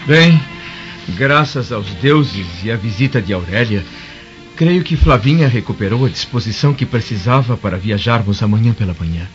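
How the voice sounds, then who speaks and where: A man's voice: on the low side, expressive, moderate pace, natural voice. An actor with a Brazilian accent playing a decisive Roman senator and father in a radio drama. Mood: relieved. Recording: muffled radio drama with music behind.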